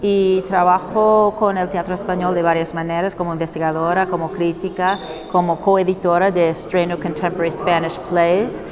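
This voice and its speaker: A woman from New York, a Spanish professor giving a clear, orderly interview answer. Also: on the low side, little pitch movement, normal speed, hardly any pauses, big-room sound, crowd noise. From New York, USA. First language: English.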